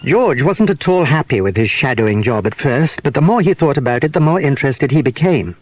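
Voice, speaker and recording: A man's voice: mid-range voice, lively intonation, brisk, a little mellow. A man narrating a children's story as a scripted, dramatised audiobook.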